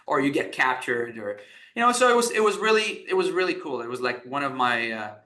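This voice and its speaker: A man's voice: mid-range voice, sing-song, brisk, sharp tone. A man from Iraq, a business founder, talking as a guest in a podcast interview, telling his story with many restarts and fillers. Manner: rambling, frequent restarts and fillers. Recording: echoey room.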